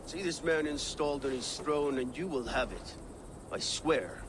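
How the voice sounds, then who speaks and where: A man plays a confident Viking war leader in a video game cutscene. His fairly high male voice is assured and commanding, with faint noise behind it. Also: measured pace, close to an everyday voice. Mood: resolute.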